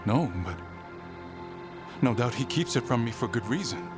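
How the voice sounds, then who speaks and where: An actor playing a devout, proud elven zealot in a game cutscene, speaking in a formal, grave and fervent manner. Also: male voice, medium pitch, lively intonation, normal speed, close to an everyday voice. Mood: troubled, resolute. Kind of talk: dramatic video game cutscene acting. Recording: loud background music.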